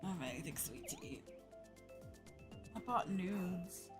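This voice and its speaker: A woman chatting casually as a livestream host while gaming, expressive and free with swearing. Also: on the low side, normal speed. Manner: expressive, swears freely, mock-grumbling asides. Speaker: livestream host, virtual streamer. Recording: game music behind the voice. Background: video game music.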